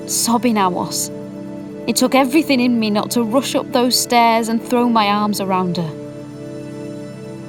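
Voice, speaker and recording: A woman's voice: mid-range voice, close to an everyday voice. A woman with a British accent playing a wistful, proud mother who confides her memories in an audio drama monologue. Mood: tender, regretful. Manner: confiding, colloquial storytelling. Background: music.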